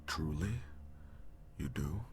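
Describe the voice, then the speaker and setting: A man playing a powerful, devoted demon lover in an intimate roleplay audio drama, speaking formally in a breathy, gravelly half-whisper. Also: very deep voice, expressive, heavily acted. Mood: hopeful, yearning. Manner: slow, formal, archaic phrasing. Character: powerful male demon, devoted, jealous lover bound to a sorcerer. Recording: music behind the voice. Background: music.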